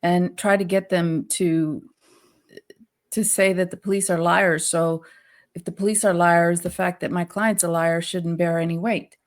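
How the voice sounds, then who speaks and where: Lower-pitched woman chatting about law. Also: steady tone, a little slow. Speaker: co-host of a legal commentary show. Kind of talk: conversational legal commentary.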